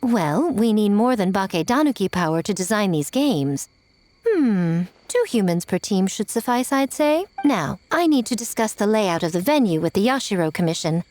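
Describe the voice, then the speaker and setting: A woman's voice: medium pitch, very animated, moderate pace, a little mellow, soft background music, lightly acted. A woman playing a sly, elegant fox spirit in a video game, poised, teasing and self-assured. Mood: breezy, self-assured.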